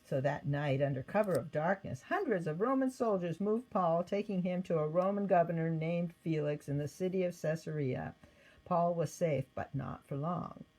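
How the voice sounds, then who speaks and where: A woman reading a scripted children's Bible story aloud in an expressive manner. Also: lowish voice, normal speed, poor audio. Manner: expressive read-aloud with acted quotes. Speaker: storybook reader.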